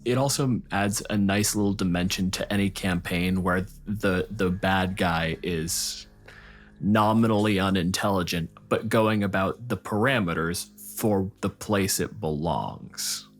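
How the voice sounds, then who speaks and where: A low male voice in a relaxed podcast-style conversation. He reasons things out with dry, argumentative delivery, with music underneath. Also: a little slow. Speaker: podcast co-host discussing tabletop game monsters. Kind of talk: casual panel discussion.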